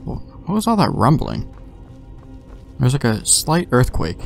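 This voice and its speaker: A man's voice: medium pitch, wildly swooping pitch, measured pace, vocal fry, faint music behind. A young man giving offhand, slangy commentary as he plays a video game, talking to himself. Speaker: gamer, let's play host.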